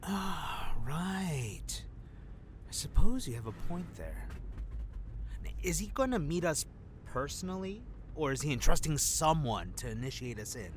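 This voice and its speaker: A man's voice: on the high side, sing-song, unhurried. Man playing a dry undercover agent, faint noise. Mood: dry, guarded. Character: dry-witted male undercover government agent, former street punk. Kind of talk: audio drama acting. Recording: faint background ambience noise.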